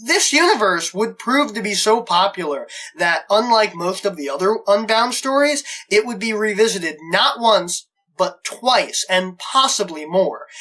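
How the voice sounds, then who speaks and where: A man with a bright, forward voice delivers scripted review commentary as a show host. He is openly enthusiastic, leaning on his words with gushing emphasis. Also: sits high, rough recording.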